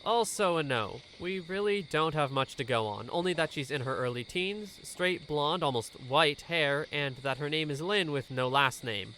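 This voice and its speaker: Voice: medium pitch, lively intonation, moderate pace, hardly any pauses, sharp tone, a little hiss or hum, low-quality recording. An actor playing a dutiful, matter-of-fact police officer in an audio drama.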